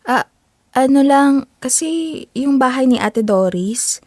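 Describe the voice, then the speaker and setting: A woman's voice: sits high, animated, slow, a little mellow, no echo, studio quality, full character voice. A young woman acting in an audio drama as a polite, slightly hesitant newcomer. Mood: polite, reserved.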